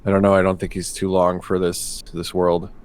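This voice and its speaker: A man's voice: low voice, monotone, moderate pace, a little mellow. A man chatting casually as a game player, informal and joking at his own expense. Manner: self-deprecating, joking, informal. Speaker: player on a tabletop game stream. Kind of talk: casual chat.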